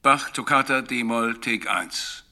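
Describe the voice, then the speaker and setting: Studio producer: very bright, forceful, gravelly, clipped and businesslike radio drama delivery. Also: male voice, mid-range voice, slow, heavily acted. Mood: matter-of-fact. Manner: brief, matter-of-fact. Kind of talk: radio play dialogue.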